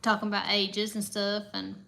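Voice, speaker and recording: A woman's voice: mid-range voice, completely flat delivery, moderate pace, creaky, crowd noise. A woman, co-hosting a casual livestream and reading viewers' comments in a chatty, giggly way. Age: 50s. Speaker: co-host of a livestream.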